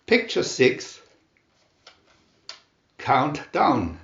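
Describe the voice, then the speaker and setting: A man reading a children's story aloud in a deliberate, expressive storytelling manner. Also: fairly high pitch, vocal fry, roomy sound, dull audio. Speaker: storybook reader. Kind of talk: scripted children's story narration.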